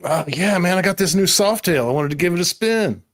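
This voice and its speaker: A man's voice: high-pitched, animated, brisk. A man playing a laid-back, friendly biker pal who is eager to ride, in a tabletop roleplaying game. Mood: easygoing. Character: easygoing biker buddy, male, eager to ride.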